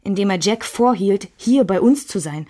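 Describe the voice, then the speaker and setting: A woman's voice: medium pitch, lively intonation, normal speed, warm tone, natural voice. An actress playing a reflective woman who looks back on a troubled marriage in a literary radio play. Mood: reflective, resigned.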